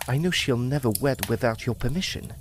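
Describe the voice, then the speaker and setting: A man's voice: mid-range voice, brisk, noisy background, natural voice. A man playing a polite, earnest young farmer asking a father for his daughter's hand, in an old-time radio drama. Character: earnest young farmer suitor, Walter Markham.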